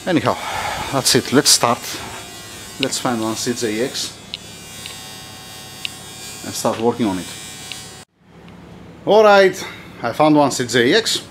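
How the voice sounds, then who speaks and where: A man's voice: mid-range voice, lively intonation, slow, pauses often, a bit bright, a little hiss or hum. A man whose first language is Greek, filming his own engine rebuild in a workshop, thinking aloud as he goes. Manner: thinks aloud, restarts often, non-native phrasing. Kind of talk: casual workshop narration. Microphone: handheld camera.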